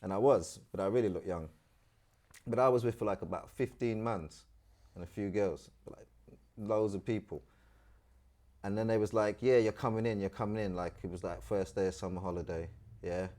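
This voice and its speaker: A middle-aged man from Trinidad, telling his story as an interview guest in animated, slangy casual talk. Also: lowish voice, moderate pace. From Trinidad and Tobago.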